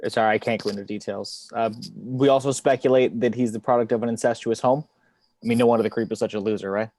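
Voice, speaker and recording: Guy doing tired sarcastic fbi investigator, talks fast, dry close sound. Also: medium pitch, steady tone, a little mellow, no echo, natural voice. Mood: dry, provoking. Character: weary, sardonic male FBI investigator. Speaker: amateur actor in an online table read. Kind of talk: screenplay table read. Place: remote video call.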